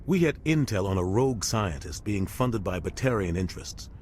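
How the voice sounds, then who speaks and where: An actor playing a weary, dutiful middle-aged military captain in a video game, speaking gravely and earnestly. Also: male voice, fairly low pitch, animated, normal speed, a little mellow, a little hiss or hum, close to an everyday voice. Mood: grave, recounting. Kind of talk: video game dialogue acting.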